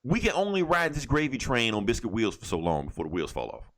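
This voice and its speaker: A man with a medium-pitched voice speaks as a podcast co-host. He is opinionated and emphatic, posing rhetorical questions and restating phrases in loose, conversational language. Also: huge pitch swings, fast, a bit bright. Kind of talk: casual opinionated pop culture podcast talk.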